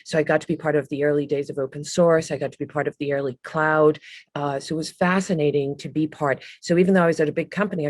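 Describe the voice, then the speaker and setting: A middle-aged woman from Ireland, a guest telling her career story in a casual, animated way on a video call. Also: low voice, no echo. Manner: lively, animated storyteller with frequent ums and restarts. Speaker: guest, business executive. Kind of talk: casual interview talk.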